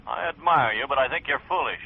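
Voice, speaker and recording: A man's voice: mid-range voice, animated, fast talker, gravelly. A man playing a wary, battered townsman in a 1950s radio drama, subdued and resigned. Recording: dull, noisy old radio recording.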